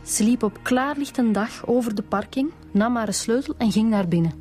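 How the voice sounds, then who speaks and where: Woman as mellow Flemish police inspector. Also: on the high side, moderate pace, faint music behind, natural voice. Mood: eager, reporting. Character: matter-of-fact, diligent female police inspector. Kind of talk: Belgian radio police drama acting.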